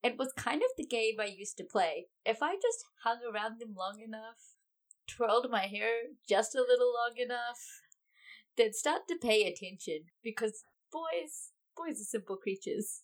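A woman's voice: on the high side, expressive, moderate pace. Sassy young New Zealand woman. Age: young adult. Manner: wry, sassy storytelling. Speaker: podcast co-host. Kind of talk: casual chat.

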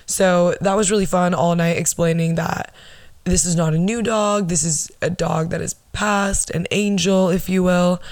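A young woman hosts her own podcast with a low alto voice, in a casual, rambling way. She swears freely and pokes fun at herself. The sound is dry and close, with no room around it. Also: darkish tone, no room sound. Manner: rambling, profane, self-mocking. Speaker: podcast host. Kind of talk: casual storytelling monologue.

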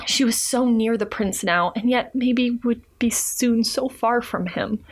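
A woman's voice: fairly high pitch, a little slow. A woman hosting a podcast, reading folktales aloud and chatting casually with irreverent, sweary asides. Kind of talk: storybook reading mixed with casual chat.

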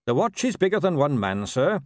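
A man voicing an earnest, upright young police captain in an audiobook, brisk, courteous and formal. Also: on the high side, big pitch swings, dry recording, studio quality, voice pushed up. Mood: earnest, resolute.

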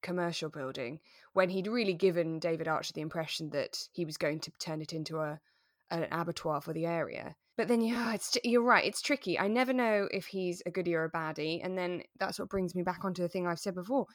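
A woman chatting casually as co-host of a relaxed podcast. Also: fairly low pitch, brisk, no echo, clean studio sound. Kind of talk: relaxed casual chat about a radio drama.

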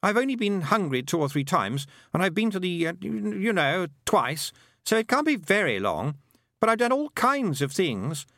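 Guy doing a lost 12 yr old boy in an audiobook, snooty but worried, high voice, very up and down. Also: normal speed, no echo, studio quality, full character voice. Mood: anxious, agitated. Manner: excitable, emphatic. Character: twelve-year-old lost boy, haughty yet anxious.

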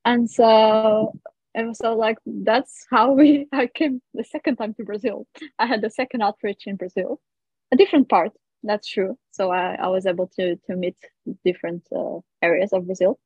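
A woman's voice: medium pitch, fairly even intonation, moderate pace, darkish tone, slightly rough. A woman from Portugal with a Portuguese accent, a guest casually telling her own story. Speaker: guest, missionary. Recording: roomy, dull lo-fi audio.

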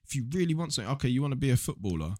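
A man's voice: mid-range voice, big pitch swings, very fast, darkish tone, dry recording, studio quality. A young man with a southern English accent chatting casually on a podcast in a blunt, slangy, sweary way. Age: young adult. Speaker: podcast guest, online video creator.

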